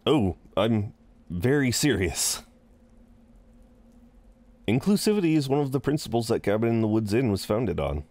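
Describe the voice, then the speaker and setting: A man playing a courteous, chatty incubus innkeeper in an intimate audio roleplay, with polite, hesitant pauses and a flirtatious streak. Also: mid-range voice, very animated, darkish tone, no echo. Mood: courteous, amused. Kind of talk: intimate scripted roleplay acting.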